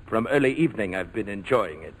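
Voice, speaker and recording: An actor playing a coolly patient villain, formal, measured and quietly menacing, in a 1940s radio drama. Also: male voice, on the low side, lively intonation. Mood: sly, commanding. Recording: noisy old 1940s radio broadcast.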